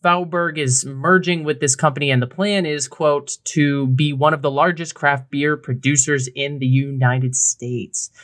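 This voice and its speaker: A man's voice: a little high, fairly even intonation, a little slow, few pauses, a bit bright. A man co-hosting a podcast in a casual, informal, conversational way. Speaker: podcast co-host.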